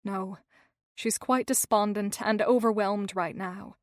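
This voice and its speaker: A woman voicing a compassionate young woman who speaks gently and reassuringly. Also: mid-range voice, steady tone, slow, dry recording, studio quality, close to an everyday voice. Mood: calm.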